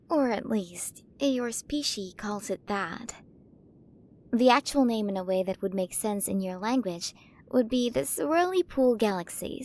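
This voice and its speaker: A woman's voice: mid-range voice, a little mellow, lightly acted. A woman playing a towering alien queen in an ASMR roleplay, cooing to the listener with doting, possessive curiosity about tiny humans. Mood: regal, amused. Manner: cooing, fascinated, quaintly mispronouncing human words.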